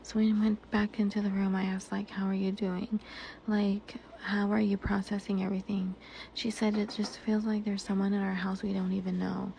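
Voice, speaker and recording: A woman's voice: medium pitch, little pitch movement, moderate pace, vocal fry, warm tone, a little hiss or hum, rough recording. A woman telling a casual, personal story about her day in an emphatic, conversational way, acting out bits of dialogue.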